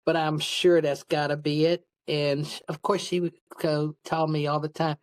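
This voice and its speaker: A woman's voice: low-pitched, level tone, moderate pace, a little mellow. A middle-aged woman speaking as an interview guest, telling personal anecdotes in a candid, rambling, casual way. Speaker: podcast guest, public speaker.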